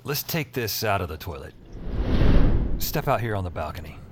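An actor playing a weary, sardonic late-shift phone operator with a deadpan, slightly hesitant delivery, in an audio drama. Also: male voice, low voice, moderate pace, heavy background noise. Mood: awkward, dry. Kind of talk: audio drama acting with noir-like narration.

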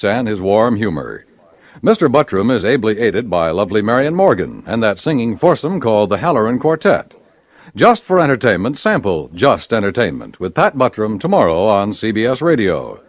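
An animated male American announcer with big swings in pitch and a dry, close sound. His delivery is polished and emphatic, like scripted fifties network radio. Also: moderate pace, no echo. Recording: dry 1950s radio broadcast.

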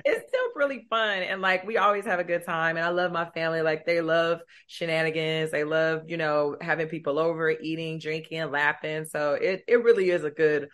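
A woman's voice: low-pitched, moderate pace, hardly any pauses, a bit bright. A woman from Detroit, a podcast co-host, chatting casually and easily. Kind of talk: casual chat.

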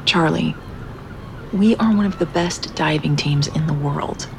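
Blunt expert diver: lowish gravelly voice, firm, probing, naturalistic drama over light ambience. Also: female voice, measured pace, a little hiss or hum. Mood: firm, indignant. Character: determined, blunt female expert cave diver. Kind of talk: naturalistic audio drama acting.

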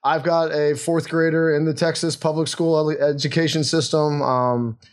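A middle-aged man from the United States co-hosting a sports podcast, talking in an easygoing, earnest way that gets animated. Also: on the high side, moderate pace. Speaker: podcast co-host. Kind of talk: casual sports podcast chat. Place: home in Austin, Texas.

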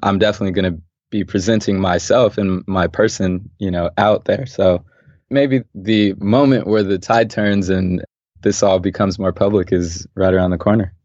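A young man from Los Angeles talks calmly and thoughtfully as an interview guest, his voice sitting very low. The audio is dull and close, with no room sound at all. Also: moderate pace, no room sound. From Los Angeles, USA. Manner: calm, thoughtful, laughs easily. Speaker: podcast guest, artist and designer. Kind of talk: relaxed interview conversation. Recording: dull, dry podcast audio.

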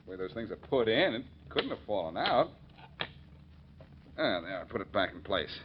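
An actor playing a confident, wry amateur detective in a 1940s radio drama, brisk and probing. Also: male voice, on the low side, sing-song. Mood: wry, easygoing. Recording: dull, noisy old 1940s radio recording.

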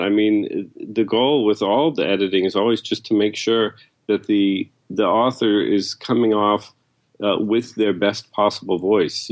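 A man with a fairly low voice speaks as a book editor being interviewed. His talk is explanatory and conversational. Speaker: book editor, podcast guest.

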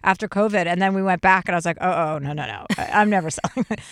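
A woman's voice: lowish voice, rapid-fire, no echo, clean studio sound. A woman hosting a podcast, telling stories in casual chat, swearing freely and mimicking people she quotes. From United States. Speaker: podcast host.

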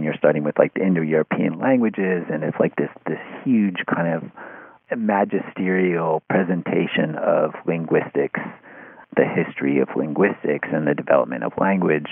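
A deep-voiced man with a husky, creaky tone speaks as an interview guest over a thin phone line. He is conversational and halting, often doubling back on words as he works out his thought. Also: no room sound. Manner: hesitant, repeats words and hedges as he thinks aloud.

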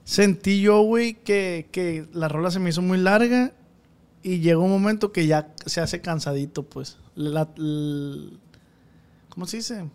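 A man's voice: high-pitched, a little slow, smooth. Slangy young man hosting a podcast. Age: 20s. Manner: slangy, joking, asks questions. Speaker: podcast host. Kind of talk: casual chat.

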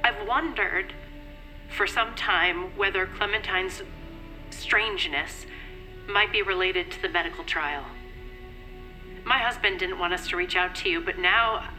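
A woman's voice: medium pitch, sharp tone, low-quality recording. A woman playing an anxious, grieving middle-aged mother in an audio drama, polite and hesitant. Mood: worried. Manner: hesitant, careful, halting. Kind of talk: naturalistic audio drama acting.

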